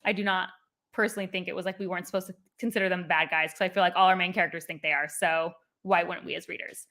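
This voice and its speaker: A woman with a bright, forward voice hosts a casual chat about books. She rambles, with plenty of fillers and restarts. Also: lowish voice, steady tone, fast talker. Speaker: booktube host. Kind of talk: casual book discussion.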